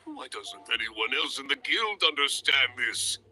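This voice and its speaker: An actor playing a blustery, enthusiastic adventurers' guild examiner in a video game, with a theatrical, emphatic delivery. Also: male voice, mid-range voice, big pitch swings, measured pace, sharp tone, slight background noise, full character voice. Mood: exasperated. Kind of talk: English video game voice acting.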